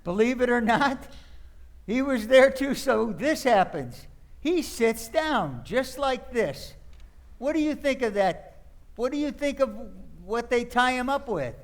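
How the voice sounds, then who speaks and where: A man performs a wisecracking, exasperated critic in old radio-comedy style. His voice sits remarkably high, and his delivery is smug, sardonic and theatrical. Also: lively intonation, unhurried, exaggerated voice. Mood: scornful. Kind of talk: old-time radio play acting.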